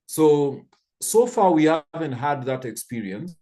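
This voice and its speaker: A man's voice: on the high side, sing-song, measured pace, mellow. A man in his fifties from Kenya, telling stories thoughtfully with frequent restarts on a church panel. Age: 50s.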